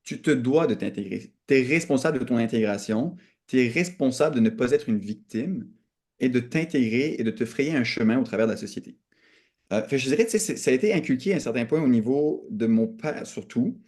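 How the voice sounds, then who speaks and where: Mellow young man, Quebec French accent. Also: medium pitch, lively intonation, brisk. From Canada. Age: young adult. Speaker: podcast guest. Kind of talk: reflective conversational interview.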